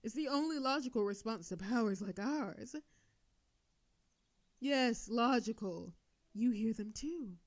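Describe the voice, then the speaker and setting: A woman playing a proud, sardonic female telepathic villain with a hidden soft side, in a theatrical scripted audio drama. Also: on the high side, animated, unhurried, long pauses, dry recording. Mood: zealous, bitter. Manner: theatrical, indignant then soothing.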